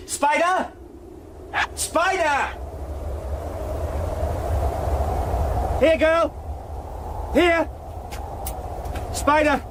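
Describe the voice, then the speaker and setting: A frightened, high-pitched young man. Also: noisy background, exaggerated voice. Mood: alarmed calling. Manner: short urgent pleading phrases. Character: frightened young man calling desperately to his dog. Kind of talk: radio drama acting.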